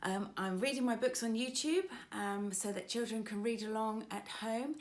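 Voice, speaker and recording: A woman children's author reading her storybook aloud with expressive, dramatic emphasis for young listeners. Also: on the high side, moderate pace.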